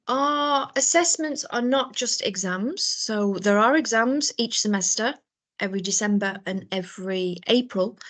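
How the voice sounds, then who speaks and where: A woman's voice: mid-range voice, a bit bright. A British woman, a professor, presenting a business school program in a live information session, explaining things with frequent ums and restarts. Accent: British English. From Britain.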